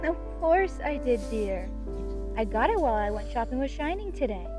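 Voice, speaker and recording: A woman's voice: a little high, sing-song, normal speed. A woman voicing an affectionate, teasing pony mother in a cartoon fan-fiction reading. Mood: fond teasing. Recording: music under the voice.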